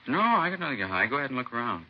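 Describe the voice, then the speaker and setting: A nervous, defensive suspect in an old radio crime play. His voice is low and his words come quickly as he dodges and pleads. The recording is muffled and slightly hissy. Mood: defensive, wary. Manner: defensive, evasive. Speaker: actor playing a suspect. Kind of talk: 1950s radio crime drama acting. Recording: dull, noisy vintage radio recording.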